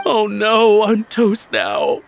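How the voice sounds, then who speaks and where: An actor playing a nervous, apologetic wealthy antiques collector in a video game, flustered, stammering and wordy. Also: male voice, high-pitched, slow pace, gravelly, a little hiss or hum, full character voice. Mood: flustered pleading.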